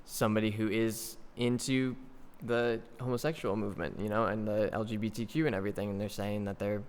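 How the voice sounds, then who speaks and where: A man with a fairly low voice co-hosts a relaxed podcast chat. He trades quips and then explains his point earnestly. A long reverb hangs around his voice. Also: fairly quick, smooth. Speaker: podcast co-host.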